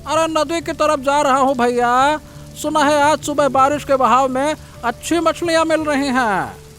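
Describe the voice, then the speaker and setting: A man's voice: very high-pitched, measured pace, a bit bright, a little hiss or hum. A man playing a cheerful, folksy village boatman uncle in a cartoon story, hearty in his greetings.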